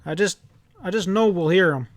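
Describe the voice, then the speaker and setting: Determined young country boy, acted by a man, desperate and pleading, pitched up, for a storybook. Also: normal speed, no room sound, natural voice. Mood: tearful pleading. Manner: earnest, pleading.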